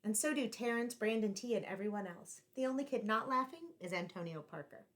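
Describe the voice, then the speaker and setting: A woman reading a picture book aloud to children in an expressive, deliberately phrased storytelling style, like a teacher. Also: a little high.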